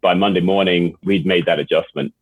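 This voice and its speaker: An Englishman with a low, slightly husky voice speaks in an interview, often doubling words as he restarts his sentences. He comes through a thin, phone-like line with no room sound at all. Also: steady tone, brisk, no echo. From England. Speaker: guest executive. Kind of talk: interview answers.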